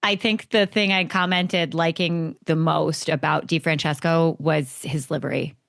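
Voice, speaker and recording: Low-pitched woman, blunt and wry. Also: fairly even intonation, a little slow, creaky, fairly bright tone, dry recording, studio quality. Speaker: podcast co-host discussing motorsport. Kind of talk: casual opinionated chat.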